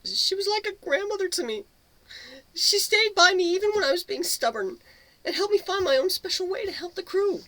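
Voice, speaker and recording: A woman voicing a grieving young female cat in an amateur dramatic reading, speaking earnestly and a little haltingly. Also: extremely high pitch, lively intonation, fairly bright tone, dry recording, rough recording. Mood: mournful, fond. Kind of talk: dramatic reading of fan fiction.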